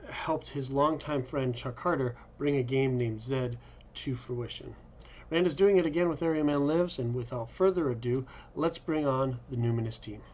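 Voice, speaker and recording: A man's voice: mid-range voice, darkish tone, a little hiss or hum. A man hosting an interview with a panel of game developers. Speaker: host. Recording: slightly dull, lo-fi microphone.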